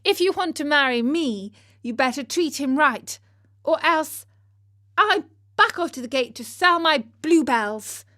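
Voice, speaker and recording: A woman's voice: high-pitched, lively intonation, unhurried, bright, forceful tone, pitched above the performer's own voice, full character voice. A woman voicing a proud, headstrong young beggar maid in a storybook tale, blunt and scolding. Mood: defiant. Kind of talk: storybook character voice.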